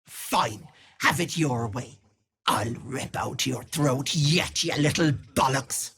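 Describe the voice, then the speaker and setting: A woman playing a sly old hag witch in a video game, with an Irish accent and a husky, raspy voice that wheedles sweetly and turns menacing. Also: rumbling low, slow pace, fairly bright tone, full character voice. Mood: snarling threat. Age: older. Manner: wheedling endearments turning to menace. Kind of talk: video game character acting.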